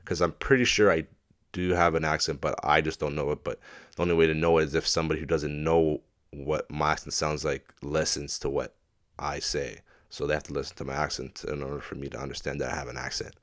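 A man's voice: extremely low pitch, flat delivery, a little fast, fairly bright tone. A man with a stuffy nose talking into a desk microphone, rambling through a casual solo rant and swearing constantly. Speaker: podcast host. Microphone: desk microphone at a laptop.

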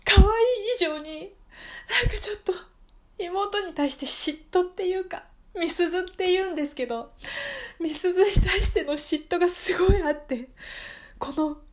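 A woman's voice: very high-pitched, normal speed, pitched above the performer's own voice. A woman playing an anxious, jealous single woman of nearly thirty in an improvised radio drama, rambling emotionally and apologetically. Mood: tearful, jealous.

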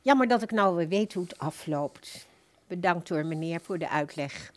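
A woman playing a simple, earnest customer in a radio play, plain-spoken, puzzled and gently persistent. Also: on the low side, expressive, moderate pace, lightly acted. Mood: disappointed, puzzled. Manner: plain-spoken, questioning, insistent.

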